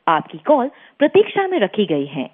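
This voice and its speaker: A woman's voice: on the high side, huge pitch swings, normal speed. A woman voicing a formal, impersonal automated helpline menu on a narrow, noisy phone line. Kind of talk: recorded phone menu announcement.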